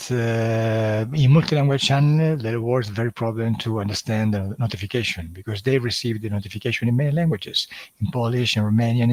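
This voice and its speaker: A man with an Italian accent hosting a live stream, talking in a halting way with many fillers and restarts. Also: mid-range voice, no room sound. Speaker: hypnosis channel host. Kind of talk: casual livestream talk.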